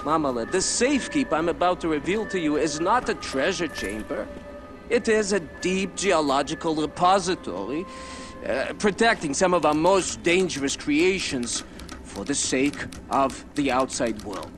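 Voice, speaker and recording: An actor playing an elderly, gentle, absent-minded scholar-inventor in a video game, with a halting, earnest manner. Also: male voice, on the high side, sing-song. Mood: earnest, explaining. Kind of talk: video game cutscene acting. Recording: music behind.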